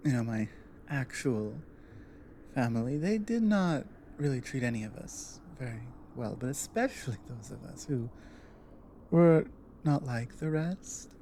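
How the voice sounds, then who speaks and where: A woman acts out a loyal, guarded orc warrior woman in an intimate ASMR audio drama. Her speech is slow and deliberate, with halting, plain, earnest phrasing. A light wash of ambient noise sits behind the voice. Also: very deep voice, animated, dark tone, heavily acted. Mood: hesitant, vulnerable.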